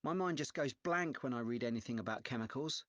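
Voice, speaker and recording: Man acting young male student, medium pitch, easygoing, measured understated delivery, scripted exam dialogue, dry recording. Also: animated, fairly bright tone, no echo, highs cut off, lightly acted. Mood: agreeable.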